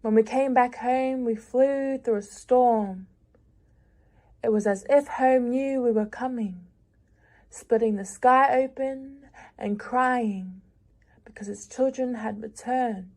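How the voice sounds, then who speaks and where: A young woman reading her own lyrical prose aloud in a measured, reflective way with long pauses. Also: a little high, a little mellow. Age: young adult. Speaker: prize-winning young writer.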